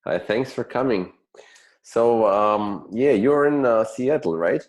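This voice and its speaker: A man hosting a casual interview show, speaking in a somewhat halting way. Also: medium pitch, expressive. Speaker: podcast host.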